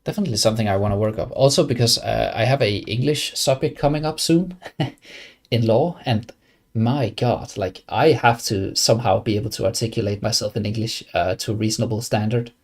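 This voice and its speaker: Young Danish-accented streamer, gravelly voice, loose rambling chat with lots of restarts. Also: male voice, lowish voice, fairly quick. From Denmark. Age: young adult. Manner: rambling, many fillers and restarts. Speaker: game streamer. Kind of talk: casual live stream chat.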